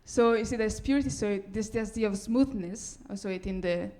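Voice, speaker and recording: A woman's voice: mid-range voice, a little fast, a little mellow. Woman hesitantly presenting her musical work. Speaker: composer presenting own work. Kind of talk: live lecture-recital explanation.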